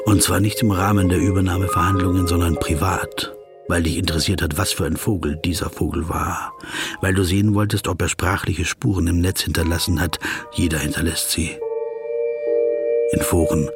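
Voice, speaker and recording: The inner voice of an overthinking male corporate lawyer. It is deep and sardonic, addressing itself as in a radio play. Music plays clearly behind it. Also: steady tone, moderate pace. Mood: wry, brooding. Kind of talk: second-person inner monologue in a radio drama.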